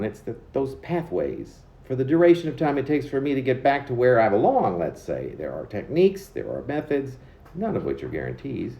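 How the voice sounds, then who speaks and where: A man reading his own poem aloud in an expressive, deliberate way with emphatic phrasing. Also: fairly low pitch, roomy sound, lo-fi sound. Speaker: poet reading own work. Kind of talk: poetry reading.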